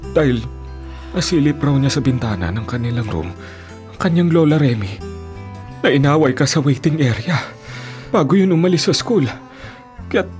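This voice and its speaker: Guy playing playful old lolo in love, radio drama, super expressive, sweet talker, music behind. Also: medium pitch, natural voice. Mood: gentle, concerned. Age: older. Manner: teasing, sweet-talking. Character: playful, devoted old grandfather in love, tricycle driver. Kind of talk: Filipino radio drama acting.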